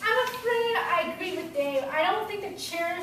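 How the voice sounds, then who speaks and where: A woman's voice: very high-pitched, expressive, normal speed, fairly bright tone. A woman reading the part of a tactful, diplomatic wife in a play, in an echoey room. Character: tactful wife siding gently with her husband. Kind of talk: script read aloud at a play reading. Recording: echoey room, far from mic, faint noise.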